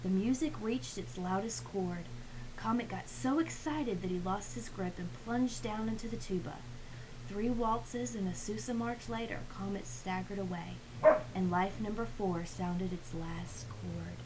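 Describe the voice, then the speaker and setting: A woman reading a children's picture book aloud in an expressive storytelling manner, with hushed asides to young listeners. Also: medium pitch, measured pace, background noise. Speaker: read-aloud reader.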